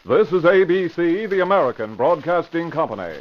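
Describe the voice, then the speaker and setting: A man reading closing credits in a formal, measured old-time radio announcing style. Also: on the high side, big pitch swings, over music. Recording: dull vintage radio broadcast recording.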